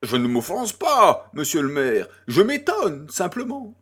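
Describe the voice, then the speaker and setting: An actor playing an urbane, grandiose baron, florid, courteous and self-assured, in a French audio drama. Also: male voice, fairly high pitch, wildly swooping pitch, unhurried, a bit bright, slightly rough, exaggerated voice. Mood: confident.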